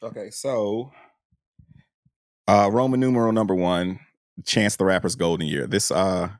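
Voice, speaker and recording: A man's voice: on the low side, steady tone, unhurried, dry recording, studio quality. A man co-hosting a podcast, talking through and reading from a book in an informal, slangy way. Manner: informal, peppered with slang and "you know". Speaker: podcast co-host. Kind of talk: casual book discussion and reading passages aloud.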